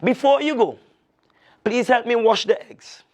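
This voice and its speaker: A man's voice: extremely high pitch, unhurried, voice pushed up. A man voicing a sly, smiling male storybook alligator with a falsely friendly manner.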